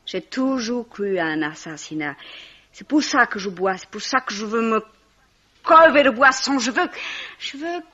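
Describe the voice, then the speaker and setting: A woman's voice: on the high side, lively intonation, normal speed, bright, forceful tone. Wrecked, volatile young drunk woman for radio mystery; slurred, piercing voice, dull old recording. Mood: hysterical, despairing. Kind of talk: 1950s French radio mystery acting.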